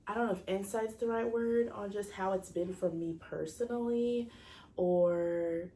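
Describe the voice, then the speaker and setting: A young woman in her twenties with a stuffy, congested voice from a cold, speaking low and mellow with a fairly flat tone. She talks in a loose, rambling way, often stopping to correct herself and hunt for the right word. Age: 20s. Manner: rambling, self-correcting, searching for words. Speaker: vlogger. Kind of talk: casual personal talk to camera.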